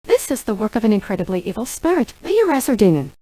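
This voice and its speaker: Husky synthetic genie girl, acted by a woman with swooping pitch. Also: sing-song, fast talker, warm tone, no echo. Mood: grave, pleading. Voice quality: synthetic text-to-speech voice. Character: earnest, formal young female genie of a magic ring. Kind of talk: dubbed game cutscene dialogue by text-to-speech.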